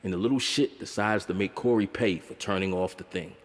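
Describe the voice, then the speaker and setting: A man's voice: low voice, fairly quick, long reverb tail. A man narrating a film breakdown video essay in a wry, conversational way with blunt, profane asides.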